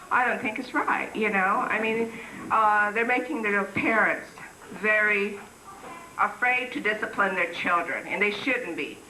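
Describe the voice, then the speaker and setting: A middle-aged woman from the Philippines, with a faint Filipino accent, speaks at a community symposium in an earnest, lecturing way, often checking in with "okay?". Her voice is bright. The recording is roomy and echoey, with the mic some distance away and a faint hiss behind her. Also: medium pitch. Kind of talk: public talk at a community symposium. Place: community center hall, recorded from afar. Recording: echoey hall recording from far off.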